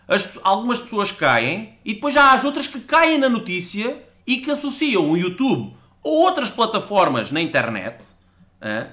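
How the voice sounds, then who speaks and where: Lively, bright-voiced Portuguese man ranting. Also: high-pitched. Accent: European Portuguese. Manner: animated, sarcastic, rambling. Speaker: podcast guest. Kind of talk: ranting monologue.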